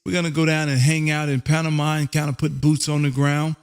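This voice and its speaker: Male American ham radio host, gravelly and high-voiced, exuberant, folksy delivery with pet phrases. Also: flat delivery, fairly quick. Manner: exuberant, folksy, repeats catchphrases. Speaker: amateur radio operator and livestream host. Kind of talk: casual on-air ham radio chat.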